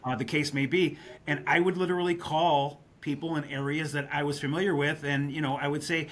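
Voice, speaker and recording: A man's voice: fairly high pitch, steady tone, fairly quick, a bit bright. Candid man telling business war stories. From western New York, US. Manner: candid, anecdotal storytelling with frequent "right?" asides. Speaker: guest, real estate business leader. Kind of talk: podcast interview storytelling.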